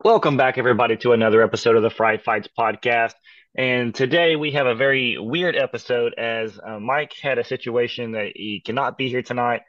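A man's voice: mid-range voice, fairly even intonation, fairly quick, no echo, distant mic, lo-fi sound. A man co-hosting a horror podcast with a cold, slightly nasal, who restarts and repeats words as he thinks. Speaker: podcast co-host. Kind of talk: casual podcast chat.

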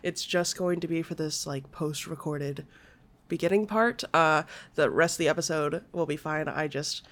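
A woman's voice: deep voice, normal speed. A woman hosting a book podcast in casual chat, nasal from a stuffy nose, chatty with many fillers. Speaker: podcast host.

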